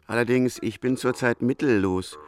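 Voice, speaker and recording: A man's voice: mid-range voice, moderate pace, natural voice. Man playing a pompous politician with oratorical delivery. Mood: genial, stately. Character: pompous ex-president turned demagogue party leader. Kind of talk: radio play acting.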